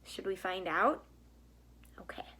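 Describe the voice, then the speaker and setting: A woman reading a picture book aloud to children in an expressive storytelling style. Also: on the low side, takes it slowly, husky. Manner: asks the young listeners questions. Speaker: storybook reader.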